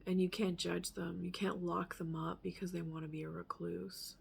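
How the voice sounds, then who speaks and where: A woman with a fairly low voice and a heavy creak talks through a tarot reading. She is casual and rambling, musing candidly as she goes and letting some phrases drop into hushed asides. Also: little pitch movement, moderate pace, a little hiss or hum. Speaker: tarot reader.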